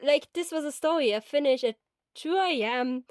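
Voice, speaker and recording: A woman's voice: high-pitched, moderate pace, no echo, clean studio sound. A woman in her twenties speaking informally to listeners in a nervous, rambling way. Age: 20s. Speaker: amateur voice actor and fan-fiction writer. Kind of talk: informal personal talk to listeners.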